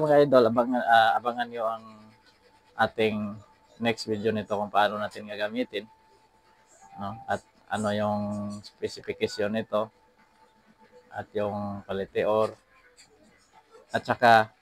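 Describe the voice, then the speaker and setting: A man with a Filipino accent hosting a casual unboxing vlog, speaking to viewers and often repeating his phrases. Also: on the low side. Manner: repeats phrases and address tags. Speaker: vlog host. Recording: some background noise.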